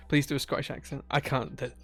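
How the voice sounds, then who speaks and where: A man's voice: mid-range voice, a bit bright. A man with a Yorkshire accent chatting casually on a live stream, reading out viewer messages with a jokey, rambling manner. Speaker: streamer building keyboards.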